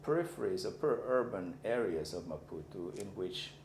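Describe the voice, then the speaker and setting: Deep gravelly man lecturing. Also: lively intonation, moderate pace, darkish tone. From Mozambique. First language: Xangana. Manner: thoughtful, searching for words. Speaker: university lecturer. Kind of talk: reflective personal lecture. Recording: echoey room with faint background noise.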